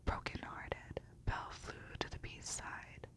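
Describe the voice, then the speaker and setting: Whispering woman reading softly, ASMR style. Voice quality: breathy, unvoiced whisper. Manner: gentle, unhurried delivery. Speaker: ASMR host reading a storybook.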